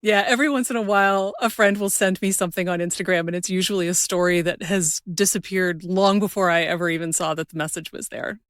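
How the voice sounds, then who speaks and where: A woman's voice: on the low side, fairly even intonation, fast, few pauses, no echo, clean studio sound. A woman hosting a podcast in casual conversation, informal and chatty as she thinks aloud. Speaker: podcast host.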